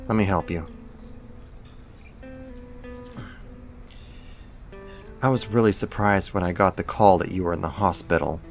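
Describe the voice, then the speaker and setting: A man acting a casual, lightly teasing roommate who is secretly a supervillain, in a conversational ASMR roleplay. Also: deep voice. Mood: concerned, teasing. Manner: casual, laid-back, lightly teasing, slangy.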